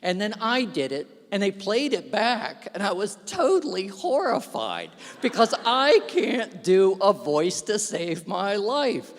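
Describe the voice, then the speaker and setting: A man giving a humorous, self-deprecating speech at an awards ceremony, telling stories with lively asides into a stage microphone. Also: high-pitched, takes it slowly, few pauses. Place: large event hall. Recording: long reverb of a large hall.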